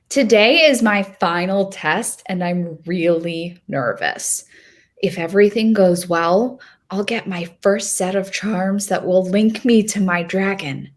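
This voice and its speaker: A woman playing a hopeful, nervous young girl who tells her own storybook tale in a deliberate, expressive reading. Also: fairly low pitch. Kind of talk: first-person storybook narration.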